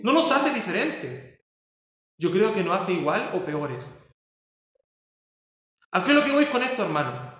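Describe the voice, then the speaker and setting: A man's voice: sits high, sing-song, a little slow, pauses often, fairly bright tone, very echoey. A man preaching a sermon as pastor, stressing points with rhetorical questions.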